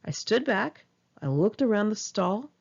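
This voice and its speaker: A woman's voice: mid-range voice, very animated, unhurried, creaky, husky, highs cut off. An American woman reading her own memoir aloud in a candid, conversational storytelling manner. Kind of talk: first-person audiobook narration.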